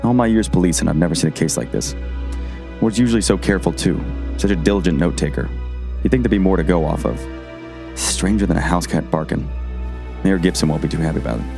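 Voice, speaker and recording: A folksy small-town sheriff, acted by a man in a comic radio play, rattling along quickly in a lowish voice. His delivery swings up and down with earnest urgency, recorded very dry. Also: animated, creaky, over music. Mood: puzzled. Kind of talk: comic audio drama acting.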